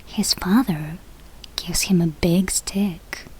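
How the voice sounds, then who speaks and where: A woman who is a non-native English speaker reads a children's fairy tale aloud, carefully and deliberately, with a breathy, husky voice. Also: on the low side, a little mellow, poor audio. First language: not English. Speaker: storybook reader for English learners.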